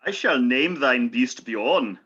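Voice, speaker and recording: A man's voice: medium pitch, animated, slow, a bit bright, breathy edge, dull audio, heavily acted. A man playing an earnest, brave medieval Norseman in an amateur radio play, speaking in mock-archaic, declamatory phrases. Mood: solemn, hopeful.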